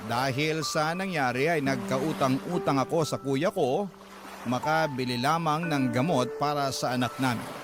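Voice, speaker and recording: Sorrowful Filipino dad narrator, slightly high voice, halting, earnest, radio drama, clear music bed. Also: male voice. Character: troubled young father confiding his sad life story.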